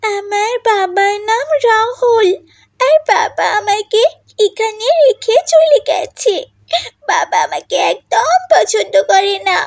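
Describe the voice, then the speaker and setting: Woman voicing a high-pitched, plaintive little girl. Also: sing-song, slow pace, no room sound, full character voice. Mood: tearful. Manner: pleading, plaintive. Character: frightened little girl abandoned by her father.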